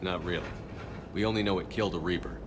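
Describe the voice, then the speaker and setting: Guy playing a tough space commander, really low voice, talks quick, clipped orders, video game style. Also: background noise, lightly acted. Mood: focused. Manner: terse, authoritative. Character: determined, no-nonsense male military commander of a starship. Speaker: commander leading a field mission. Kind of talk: video game cutscene acting.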